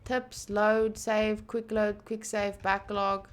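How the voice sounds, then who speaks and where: A woman doing casual playthrough commentary of a visual novel, reading game text aloud and thinking out loud with excitable energy. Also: mid-range voice, takes it slowly. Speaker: streamer playing a visual novel.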